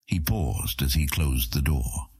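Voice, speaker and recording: Deep husky male audiobook narrator. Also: animated, takes it slowly, a little mellow, dry recording, clean studio sound. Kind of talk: scripted fantasy narration.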